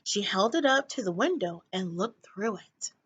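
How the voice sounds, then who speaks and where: A woman teacher reading a children's story aloud in an expressive narrating style. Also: mid-range voice, normal speed, breathy edge, highs cut off.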